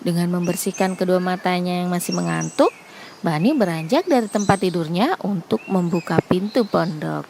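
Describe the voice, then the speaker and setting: A woman's voice: lowish voice, moderate pace, vocal fry, a little mellow, a little hiss or hum. A woman narrating a scripted children's fable as a storyteller.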